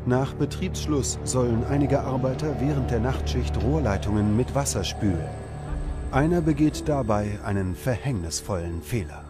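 A man's voice: medium pitch, lively intonation, normal speed. Male documentary narrator over prominent music. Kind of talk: scripted narration. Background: music bed.

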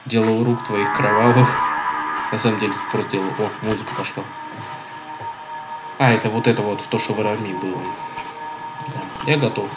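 A man's voice: fairly low pitch, steady tone, moderate pace, long pauses, over loud music, low-quality recording. A young man with a cold and a nasal, stuffy voice, chatting casually through a game and reading its text aloud. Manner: chatty, rambling, self-correcting. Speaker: gaming video host.

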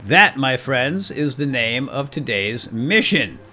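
Man, folksy and chatty gaming host, bright forward voice, casual play-by-play over music. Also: mid-range voice, very animated, slow pace. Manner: folksy, chatty, talks directly to viewers. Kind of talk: casual gameplay commentary with light role-play.

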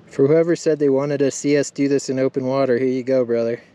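A man's voice: medium pitch, fairly even intonation, fast talker, warm tone. Man, fishing host, upbeat play-by-play, outdoors on a boat, action camera, faint noise. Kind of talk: casual fishing commentary.

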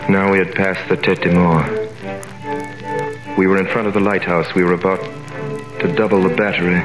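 An actor playing a young sailor wrongly jailed. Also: male voice, deep voice, little pitch movement, moderate pace, over loud music, lightly acted. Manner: narrates in first person, then shouts in panic. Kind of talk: 1930s radio drama acting. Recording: echoey, noisy 1930s radio recording.

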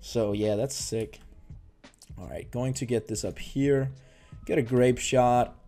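Young Danish man, non-native English, mellow easygoing voice, excitable chatty game commentary over faint background music. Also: medium pitch, slow pace. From Denmark. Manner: excitable, chatty, trails off and restarts. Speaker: gaming YouTuber. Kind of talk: casual gameplay commentary.

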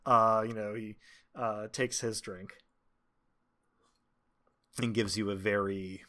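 A man acting as game master, improvising narration of a tabletop game in a halting, conversational way. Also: fairly low pitch, steady tone, pushed and bright.

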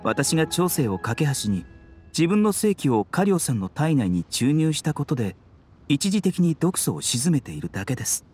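A man voicing a gentle, courteous and frail doctor in a Japanese video game, composed and polite. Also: on the high side, sing-song, normal speed, faint music behind. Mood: calm explaining. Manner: soft-spoken politeness, composed.

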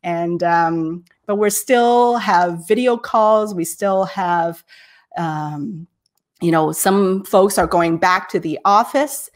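A woman's voice: lowish voice, slow. A middle-aged woman hosting an interview show over a video call, bubbly and enthusiastic, laughing often. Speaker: show host. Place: home office, indoors.

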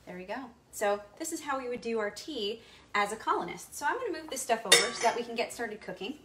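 A woman's voice: fairly high pitch, lively intonation, fast, heavy background noise. A young woman teaching history while she cooks in her home kitchen, chatty and explaining each step. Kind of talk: cooking demonstration with history lesson.

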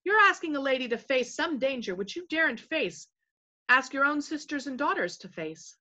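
A woman's voice: high-pitched, very animated, moderate pace, bright, forceful tone, pitched above the performer's own voice, exaggerated voice. A woman voicing protective, indignant young noblemen, protesting in a forthright way in a storybook.

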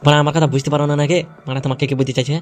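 A man's voice: a little high, level tone, rapid-fire, soft background music, natural voice. A man playing a gangster's nagging henchman in an amateur comic cartoon dub. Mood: impatient. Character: gangster's nagging adult henchman, informing his boss.

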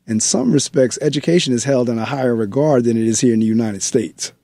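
Man, radio host, casual and chatty, mellow voice, dry studio, talks to listeners. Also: mid-range voice, fast, vocal fry, no echo. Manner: chatty, conversational, addresses listeners directly. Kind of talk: radio interview.

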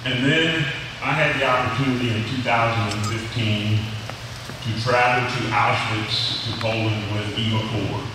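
An older man, a retired history teacher, giving an earnest history lecture at a podium with a loud voice, many fillers and restarts. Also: mid-range voice, steady tone, moderate pace. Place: large hall with a seated audience, in doors. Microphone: podium microphone, filmed by a far camera. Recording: echoey hall from far off, audible room noise.

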